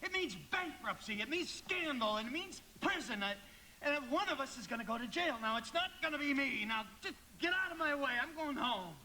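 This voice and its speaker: A man's voice: very high voice, lively intonation, brisk, pushed and bright, slight background noise, full character voice, poor audio. An actor playing a frantic, unraveling small-town family man in a live radio play, stammering and repeating himself. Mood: desperate, angry.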